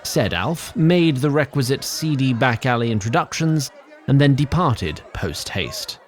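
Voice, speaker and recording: Male actor as wry, digressive sprite storyteller; formal diction, highly animated pitch. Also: measured pace, some chatter behind, lightly acted. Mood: measured, wry. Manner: formal, wry, prone to asides. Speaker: narrator. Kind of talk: theatrical scripted fantasy narration.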